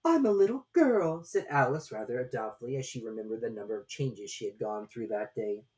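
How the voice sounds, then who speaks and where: A woman reading a storybook as narrator in an expressive, scripted style. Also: rumbling low, fairly quick.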